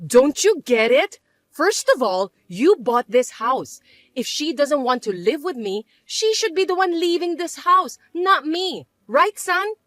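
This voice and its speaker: A woman's voice: sits high, very animated, measured pace, fairly bright tone, no room sound, heavily acted. A woman voicing a bossy, scornful older mother-in-law who scolds and accuses in an indignant, whiny way. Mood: complaining. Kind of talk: dramatized story voice acting.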